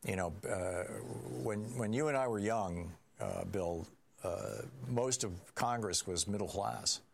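A man's voice: lowish voice, unhurried, vocal fry. A man hosting a call-in talk radio show, taking callers and giving earnest, opinionated replies with many restarts.

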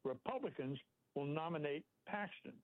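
A man calling a radio show, halting and conversational as he asks his question. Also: a little high, expressive. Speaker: caller. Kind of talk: phone-in chat. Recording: tinny phone line.

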